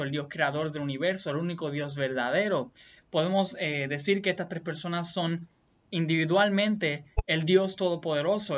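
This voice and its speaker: A Puerto Rican man explaining Bible doctrine as the host of a teaching video, in a deliberate, point-by-point, repetitive way. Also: on the high side, a bit bright, dull audio. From Puerto Rico.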